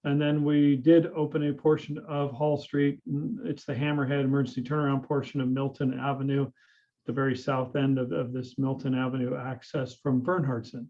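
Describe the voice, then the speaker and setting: A man, a public works director, presenting a report at a city council meeting in a measured way, pausing often with "uh" and "um". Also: mid-range voice, highs cut off. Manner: measured, hesitant with frequent fillers.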